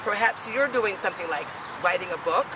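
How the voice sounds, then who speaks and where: A woman's voice: medium pitch, lively intonation, a little fast. Chatty upbeat woman host interviewing outdoors, off-mic, traffic noise behind. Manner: chatty, upbeat, self-deprecating. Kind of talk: street interview. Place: outdoors in a city. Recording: distant mic with street noise.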